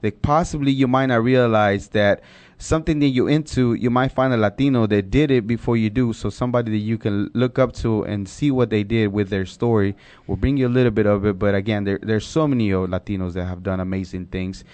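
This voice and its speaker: A man's voice: on the low side, fairly even intonation, fairly quick, darkish tone, clear, resonant tone, dry recording. A man whose first language is Spanish, hosting a casual podcast chat and rambling with lots of self-corrections. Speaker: podcast host.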